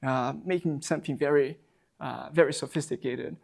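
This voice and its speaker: A man's voice: on the high side, steady tone, normal speed, creaky. A man in his thirties from Brazil, a non-native speaker with a Brazilian Portuguese accent, giving a public lecture as a university professor in a library talk room, hesitant, with many fillers and repeated words.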